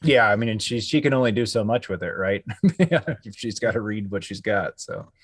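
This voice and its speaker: Low-voiced man, tentative and casual. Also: normal speed, a bit bright, no room sound, studio quality. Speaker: podcast host. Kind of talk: casual panel review chat.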